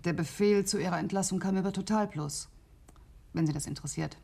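An actress playing a brisk female clinic doctor in a radio drama, speaking in a formal, clipped, bureaucratic way. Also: on the low side, vocal fry, dark tone, slightly rough. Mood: officious.

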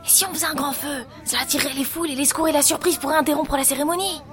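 A woman playing a bold, defiant heroine in a French fantasy audio drama, speaking urgently and forcefully. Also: sits high, rapid-fire. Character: bold, defiant sister fighting a cult. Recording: music and crowd under the voice.

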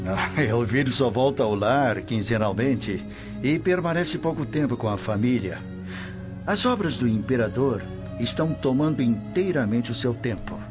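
Male actor, old Roman official, authoritative, formal, muffled radio drama sound, clear music underneath. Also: mid-range voice, animated, normal speed, natural voice. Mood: benign authority. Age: older. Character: proud old Roman official, stern patriarch. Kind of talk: Brazilian radio drama acting.

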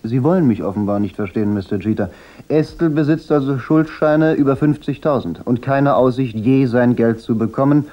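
A man's voice: mid-range voice, moderate pace, lightly acted. A man acting a cool, laconic, insolent private detective in a German radio crime drama. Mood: dry, pointed. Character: cool, wry, unflappable hard-boiled private detective. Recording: dull radio drama recording.

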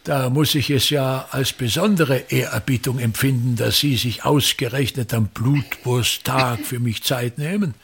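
A man's voice: mid-range voice, measured pace, gravelly, hardly any pauses, breathy edge. An actor in a radio play as a pompous, self-pitying older theatre director and father, grandiloquent and complaining, repeating himself. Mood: sardonic.

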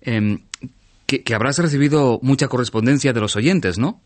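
A man hosting a radio interview in a conversational, measured way with deliberate phrasing. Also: medium pitch. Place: radio studio.

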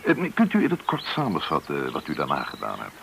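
Deep, creaky-voiced courteous colonel. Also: male voice, wildly swooping pitch, a little fast, a bit bright, exaggerated voice. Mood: businesslike. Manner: smooth-talking, official, politely evasive. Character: courteous, evasive colonel on security service business. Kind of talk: radio play acting. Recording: noisy old radio play recording.

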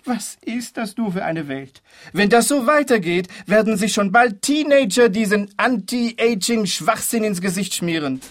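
A high-voiced, exasperated husband. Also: male voice, lively intonation, a little slow. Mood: exasperated, scornful. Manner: indignant, complaining. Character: exasperated, scornful husband of a beauty-obsessed woman. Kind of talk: radio play dialogue.

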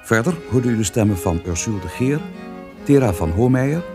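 A man's voice: mid-range voice, animated, brisk. A man reading scripted credits as a radio announcer. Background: prominent music with strings.

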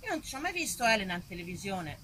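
A woman's voice: mid-range voice, very animated, quick pace, sharp tone, a little hiss or hum, pitched below the performer's own voice. Woman playing a blunt, stubborn male registry clerk. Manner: blunt, sceptical, questioning. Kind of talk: acted dialogue in a stage monologue.

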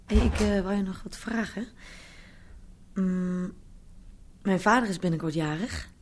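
An actress playing an enthusiastic, affectionate young squatter in a Dutch radio drama. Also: female voice, mid-range voice, a little slow, a little mellow, slight background noise, close to an everyday voice. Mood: tender, coaxing.

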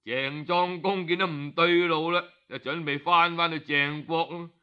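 A man's voice: a little high, steady tone, a little slow, clean tone. A man with a Cantonese accent telling historical tales as an audiobook storyteller, in a colloquial, conversational way full of exclamatory particles. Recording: dull, lo-fi audio.

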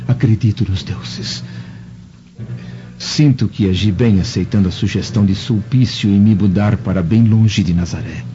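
A man acting a dignified Roman senator with a Brazilian accent, low and formal. He speaks in measured radio drama phrases, on a dull recording with music clearly behind him. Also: dark tone, lightly acted. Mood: troubled.

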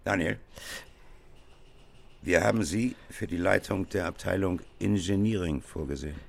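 Gravelly, pompous old company boss. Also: male voice, low voice. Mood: effusive, pompous. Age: older. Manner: halting, repetitive, effusive business praise. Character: pompous, jittery older company boss, male. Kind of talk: radio play acting.

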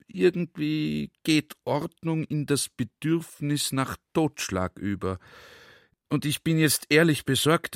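A man's voice: mid-range voice, dry recording. A garrulous army general, holds forth to a comrade in a radio play. He is earnest and conversational, full of rhetorical questions, in a very dry, close recording. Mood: pensive, worried. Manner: conversational, rhetorical, checks listener is following.

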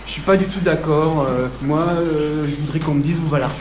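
A man playing a disgruntled questioner at a public meeting in a radio fiction, blunt and halting. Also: fairly high pitch, recorded from a distance, natural voice. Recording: echoey room, noisy ambience.

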